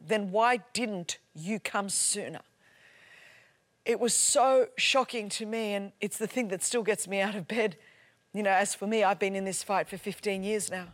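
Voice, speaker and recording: A woman, a native Greek speaker, preaching and telling a story with mounting passion and emphatic repetition. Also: mid-range voice. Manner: impassioned storytelling, builds to loud emphatic repetition. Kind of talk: sermon.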